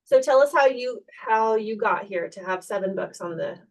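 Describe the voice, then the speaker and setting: A woman hosting a book club from a bookstore, asking a guest questions over a video call in a friendly, slightly halting way. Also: medium pitch, lively intonation, recorded from a distance. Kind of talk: casual group video chat.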